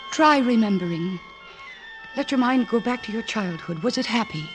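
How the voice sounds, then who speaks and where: A woman's voice: fairly high pitch, animated, moderate pace, natural voice. An actress playing a devoted, determined woman psychoanalyst in a 1940s radio drama, speaking earnestly and insistently. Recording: vintage radio broadcast with orchestral music.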